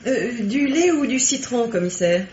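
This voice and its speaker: An actress playing a guarded, formally polite bourgeois wife and hostess in a radio mystery. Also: female voice, on the high side, expressive, normal speed, darkish tone, lightly acted. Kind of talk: old French radio mystery play acting. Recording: muffled, echoey, noisy old radio recording.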